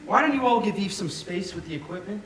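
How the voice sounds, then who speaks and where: A man's voice: high-pitched, lively intonation, quick pace, a crowd in the background. A man on stage playing a courteous, reassuring senior lab doctor. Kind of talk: staged musical reading, stage acting. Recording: echoey theatre, distant, audience noise.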